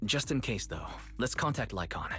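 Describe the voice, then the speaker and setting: An actor playing a calm, practical young man who talks in an even, matter-of-fact way, in game audio with music behind. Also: fairly low pitch, normal speed.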